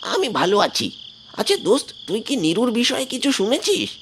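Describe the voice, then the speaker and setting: A man's voice: extremely high pitch, wildly swooping pitch, normal speed, fairly bright tone, slight background noise, voice pushed up, exaggerated voice. A man voicing a chummy young village friend in a cartoon. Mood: chummy, gossipy. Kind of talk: cartoon voice acting.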